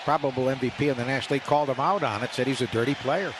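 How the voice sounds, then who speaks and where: A man's voice: medium pitch, rapid-fire. Male radio baseball commentator, mid-range voice, unscripted remarks, heavy stadium noise. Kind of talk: unscripted live sports commentary. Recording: noisy ballpark radio broadcast.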